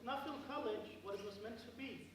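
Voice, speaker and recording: A man's voice: extremely high pitch, a little fast, fairly bright tone. An amateur actor, a man, playing a pompous, tyrannical lord with a commanding, declamatory delivery in a stage pantomime. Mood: grandiose. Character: pompous tyrannical college lord, ruler of his domain. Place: indoor stage in a large hall. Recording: very echoey noisy hall from far off.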